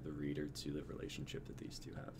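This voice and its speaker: A man's voice: rumbling low, monotone, quick pace, vocal fry, background noise. A young man chatting casually and encouragingly as a co-host of a group stream. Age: young adult. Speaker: co-host of an online readers' stream.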